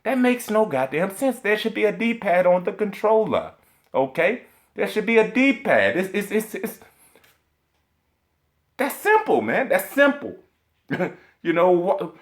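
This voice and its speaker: A man in full rant mode, swearing constantly and hammering his points with indignant emphasis. His pitch swings widely as he gets worked up. He keeps tripping over strings of "uh"s while he searches for a word. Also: big pitch swings, unhurried, long pauses. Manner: rambling with stacked filler hesitations. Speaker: video game commentator. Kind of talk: profane ranting vlog commentary.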